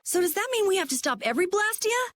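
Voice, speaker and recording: Worried, sincere kid hero in a game, high lively pitch. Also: female voice, quick pace, full character voice. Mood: anxious, questioning. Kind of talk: anime-style video game dialogue.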